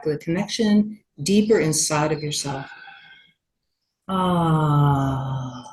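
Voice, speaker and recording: A woman yoga teacher leading a guided meditation in a gentle, unhurried manner with long pauses. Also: low voice, rough recording.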